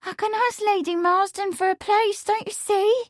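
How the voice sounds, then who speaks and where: A woman's voice: extremely high pitch, fairly even intonation, takes it slowly, dry recording, studio quality, voice pushed up. A woman voicing a young housemaid in an audiobook, tearful, scheming and lovestruck, with a tremulous, pleading manner.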